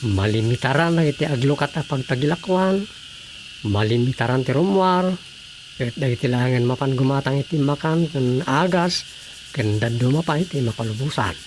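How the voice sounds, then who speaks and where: A man's voice: medium pitch, lively intonation, moderate pace, lightly acted. A man playing a know-it-all, inquisitive everyman in a radio comedy drama, explaining emphatically with an Ilocano accent. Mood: earnest explaining. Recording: faint noise, insect ambience.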